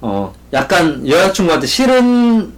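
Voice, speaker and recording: A young man in his twenties chatting casually on a podcast, talking frankly in halting phrases with repeated words. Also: high-pitched, wildly swooping pitch. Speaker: podcast co-host. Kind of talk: casual chat.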